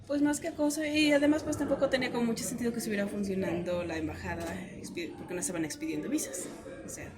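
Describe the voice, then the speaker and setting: A woman's voice: a little high, steady tone, fast talker. Mexican woman telling her story over music. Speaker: interview guest. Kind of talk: casual personal storytelling in conversation.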